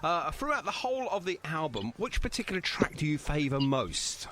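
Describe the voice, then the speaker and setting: Male radio host, higher-pitched and very animated, chatty, jokey band interview style. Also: normal speed. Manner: chatty, jokey, apologetic teasing. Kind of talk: casual band interview with music.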